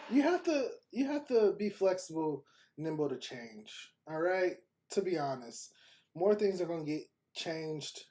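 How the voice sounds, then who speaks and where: A man's voice: on the high side, warm tone, dry recording. A man in his thirties hosting a podcast, chatting casually and animatedly as he browses and reads aloud, laughing often. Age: 30s. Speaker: podcast host. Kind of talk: casual commentary while browsing and reading aloud.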